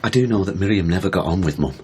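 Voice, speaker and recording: A man's voice: low voice, rapid-fire, dark tone, natural voice. A man acting a subdued, guarded grieving son in a naturalistic radio drama. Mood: defensive. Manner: restrained, terse.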